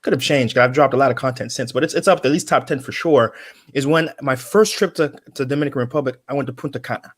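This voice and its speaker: A man's voice: medium pitch, fast. A man hosting an interview show, talking casually and rambling with many restarts. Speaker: podcast host.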